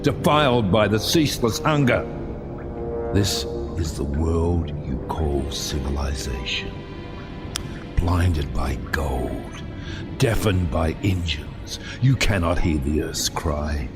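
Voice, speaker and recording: An actor playing a bitter, vengeful sea captain who condemns mankind in grand, theatrical audio drama speeches. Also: male voice, low-pitched, exaggerated voice. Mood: bitter, brooding. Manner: grand, theatrical, deliberate oratory dropping to hushed intensity.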